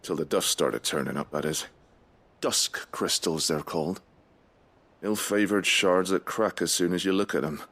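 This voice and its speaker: A gruff mercenary guard in a fantasy game. He has a low-pitched British voice and talks freely in blunt, grumbling, colloquial speech. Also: moderate pace, fairly bright tone, heavily acted. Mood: grudgingly helpful. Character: gruff, talkative male mercenary gate guard. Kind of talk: video game voice acting.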